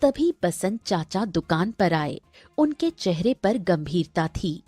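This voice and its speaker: A woman's voice: fairly low pitch, very animated, slow, dark tone, husky, dry recording, soft background music. A woman narrating a scripted cartoon story.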